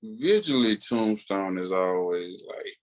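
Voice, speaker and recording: Low-pitched American man, slangy podcast co-host. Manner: slangy, animated storytelling with many filler pauses. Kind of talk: casual chat.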